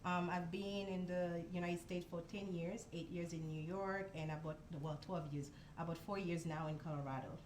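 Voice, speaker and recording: A young woman from Haiti talks through her dishes in a soft, unhurried way, pausing often to find words. Her voice sits a little low, and a faint hiss of room noise runs under the studio recording. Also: fairly even intonation, rough recording. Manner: soft-spoken, explains step by step with many ums. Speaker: guest, food truck owner. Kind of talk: casual interview.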